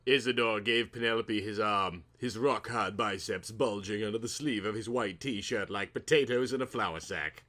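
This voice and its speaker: Guy narrating a cheesy melodrama story, mock serious n dramatic, bright punchy voice. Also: fairly low pitch, moderate pace, few pauses. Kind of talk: melodramatic comic story reading.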